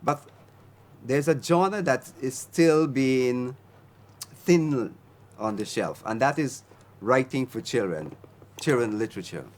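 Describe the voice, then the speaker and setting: An older man hosting an interview program, speaking in a measured, emphatic way that lingers on key words. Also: mid-range voice, lively intonation, darkish tone. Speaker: radio program host. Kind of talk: formal interview introduction.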